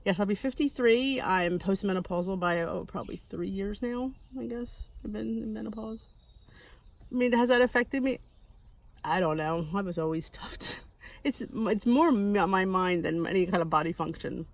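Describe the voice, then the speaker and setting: A woman's voice: mid-range voice, moderate pace, no room sound. A woman in her early fifties, talking candidly to camera in a rambling, self-deprecating way and often restarting her sentences. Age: 50s. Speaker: weight-loss vlogger. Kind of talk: casual talking-to-camera monologue.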